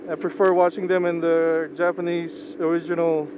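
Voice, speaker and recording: A young man whose first language is Tagalog, talking casually in a slow, halting way while driving. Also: a little high, flat delivery. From Philippines. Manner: slow, unhurried, halting with pauses. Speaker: vlogger. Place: inside a moving car. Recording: faint car engine hum. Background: car engine and road noise.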